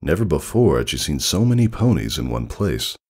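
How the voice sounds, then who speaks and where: A man reading fiction aloud as an expressive scripted story narration. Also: extremely low pitch, moderate pace, husky. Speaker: fan fiction reader.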